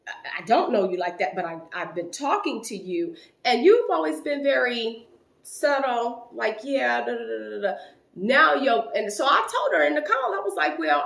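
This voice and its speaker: Lively high-pitched woman in her thirties. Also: reverberant. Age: 30s. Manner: animated, rising loud when quoting an argument. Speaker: YouTuber telling a story. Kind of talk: casual storytime vlog.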